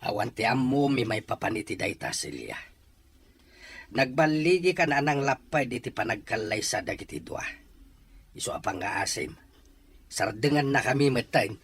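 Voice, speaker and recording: A man's voice: mid-range voice, animated, moderate pace, fairly bright tone, husky. A man with a Filipino accent playing an earnest, middle-aged widowed father in an Ilocano radio-style drama. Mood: stern. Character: worried widowed father, stern and plain-spoken. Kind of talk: Ilocano audio drama acting.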